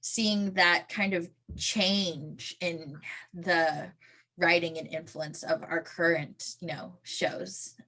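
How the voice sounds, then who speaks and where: A woman's voice: on the low side, fairly even intonation, slow, bright, forceful tone, poor audio. A woman hosting a podcast, asking questions in a friendly way with some fillers. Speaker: podcast host. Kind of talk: podcast interview.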